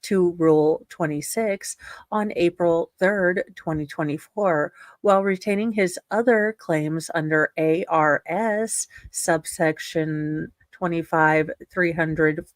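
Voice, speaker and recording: A woman's voice: fairly low pitch, dry recording. A woman reading a legal document aloud as a performance, dropping in casual asides. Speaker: co-host reading a court ruling aloud.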